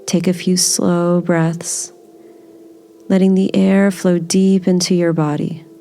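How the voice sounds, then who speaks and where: A woman leading a guided body scan meditation in a gentle, soothing, unhurried manner. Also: on the low side, level tone, creaky.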